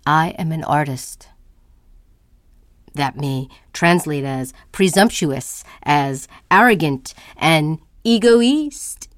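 A woman's voice: sits low, animated, slow pace, no room sound, clean studio sound. A woman performing a restless, anxious artist's first-person monologue in a brooding, emphatic, theatrical way. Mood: brooding, defiant. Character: restless, anxious, self-questioning woman artist, neither old nor young.

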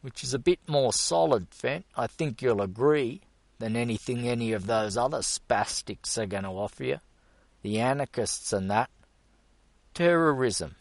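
A man's voice: medium pitch, lively intonation, measured pace, no echo, voice pushed up. A man voicing a blustering, boastful bearded man who pushes his point hard. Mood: stern. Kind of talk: audiobook character voice.

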